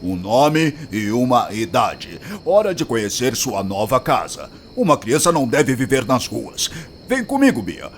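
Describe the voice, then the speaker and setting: An actor playing a gruff, crude, hard-drinking but protective man in an audio drama. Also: medium pitch, big pitch swings, measured pace, a little hiss or hum. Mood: brusque, decisive. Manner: blunt, crude, grumbling. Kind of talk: acted audio drama dialogue.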